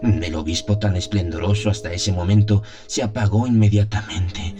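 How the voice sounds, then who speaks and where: A man playing a devout priest who solemnly recalls his innocent youth in a gothic radio drama, in measured, literary phrases. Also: lowish voice, fairly even intonation, natural voice. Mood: solemn, fervent. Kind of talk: dramatized first-person gothic story narration. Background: music.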